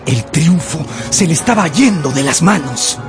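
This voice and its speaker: Male narrator for radio drama: theatrical, lively intonation, scripted storytelling over a clear music bed. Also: fairly high pitch, normal speed. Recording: music under the voice.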